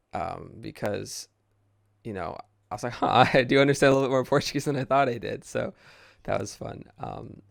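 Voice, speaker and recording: A man's voice: fairly low pitch, creaky, no room sound, studio quality. A man hosting a casual podcast interview, easygoing and hesitant, often restarting his sentences. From United States. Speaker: podcast host.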